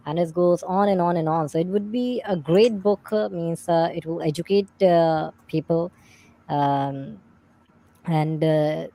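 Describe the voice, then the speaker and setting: A man whose first language is Urdu, speaking English haltingly as a stream guest. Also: a little high, steady tone, gravelly, warm tone. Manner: halting, searching for words in English. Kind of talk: livestream discussion.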